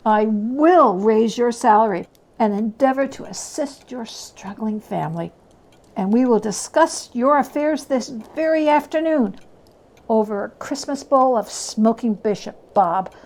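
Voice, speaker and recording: A woman's voice: a little high, lively intonation, unhurried, darkish tone. A woman voicing a reformed old male miser in a storybook reading, emphatic and bursting with generosity. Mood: jubilant.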